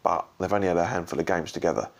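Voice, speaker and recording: Casual fan vlogger, male, very low voice, hoarse from shouting the night before, rambling delivery. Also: fairly even intonation, rapid-fire. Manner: rambling, full of ums and restarts. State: slightly croaky from shouting and drinks. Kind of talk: casual post-match reaction vlog.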